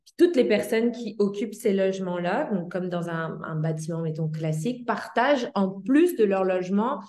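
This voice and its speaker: A woman's voice: mid-range voice, lively intonation, moderate pace, darkish tone, echoey room. A woman giving an explanatory presentation, with frequent fillers.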